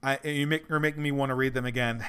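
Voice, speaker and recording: Hoarse man rambling on a livestream. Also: medium pitch, fairly even intonation, fast talker, pushed and bright. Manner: rambling, earnest, thinks aloud. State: losing his voice. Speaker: livestream host reading donations. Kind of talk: casual livestream Q&A.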